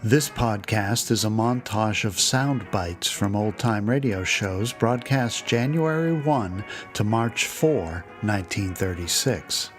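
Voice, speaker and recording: Guy hosting a podcast, lowish voice, scripted intro, bit of music behind. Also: lively intonation, slow pace, few pauses. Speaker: podcast host.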